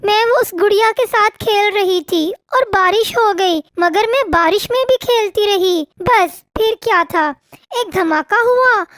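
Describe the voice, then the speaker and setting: Woman as an anxious, very high-pitched little sparrow girl. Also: measured pace, fairly bright tone, no room sound, clean studio sound. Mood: upset. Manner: pleading, childlike. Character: young female sparrow, anxious, tearful, honest child. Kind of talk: Hindi moral cartoon voice acting.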